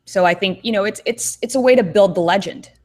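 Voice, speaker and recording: A woman's voice: medium pitch, a little fast, darkish tone. A woman co-hosting a casual podcast chat over a video call, talking in a thoughtful, rambling way with many fillers and restarts. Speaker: co-host.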